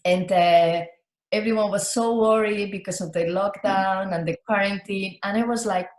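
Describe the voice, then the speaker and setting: A woman from Spain, a guest on an online interview, answering gratefully with soft laughs. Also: mid-range voice, fairly even intonation, normal speed. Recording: roomy video call audio.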